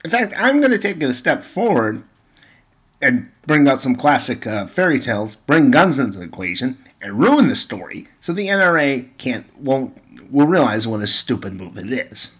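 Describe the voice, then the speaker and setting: A man's voice: medium pitch, sing-song, normal speed, fairly bright tone. A man giving informal opinion commentary and retelling stories, with exasperated, sarcastic emphasis and rhetorical questions. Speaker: video commentator.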